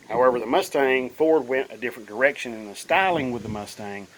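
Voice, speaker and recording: A man's voice: mid-range voice, brisk, background noise. A man in his fifties with bronchitis, sounding a bit nasal, rambling casually about his old car while filming himself on a phone in his cluttered garage. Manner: rambling, folksy, lots of ums and restarts. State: sick with bronchitis, slightly nasal. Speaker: car owner vlogger. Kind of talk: casual walkthrough vlog. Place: cluttered home garage indoors. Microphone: phone held at arm's length.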